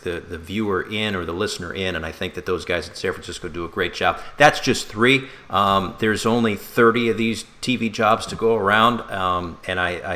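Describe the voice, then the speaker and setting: Low, forceful man talking sports. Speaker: sports talk show co-host and broadcaster. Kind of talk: casual sports talk.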